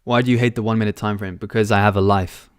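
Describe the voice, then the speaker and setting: A man's voice: on the low side, steady tone, quick pace, clear, resonant tone, no echo, clean studio sound. A young man hosting a live trading stream indoors on a headset mic, reading out chat questions and answering them in a casual, offhand way. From born in London. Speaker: trading livestream host. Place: small room indoors.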